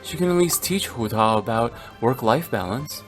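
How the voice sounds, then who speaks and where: Casting: actor as young male game adventurer, easygoing, mid-pitched, music clearly behind him. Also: lively intonation, normal speed, lightly acted. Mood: helpful. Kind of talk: animated game dialogue.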